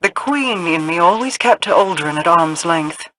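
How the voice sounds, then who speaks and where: An actress playing a solemn, imperious queen in a sci-fi video game, speaking in a formal, measured way. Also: fairly low pitch, expressive, sharp tone, a little hiss or hum, poor audio. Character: regal, solemn, commanding queen of a space realm.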